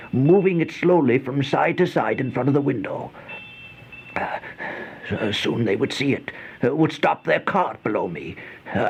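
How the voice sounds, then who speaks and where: An actor playing a horrified man telling his nightmare in a halting, gasping, theatrical way, in an old radio horror monologue. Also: medium pitch, vocal fry. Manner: halting, gasping, theatrical delivery with drawn-out dread. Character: horrified man narrating his nightmare in a plague-haunted house. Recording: muffled, noisy old radio recording.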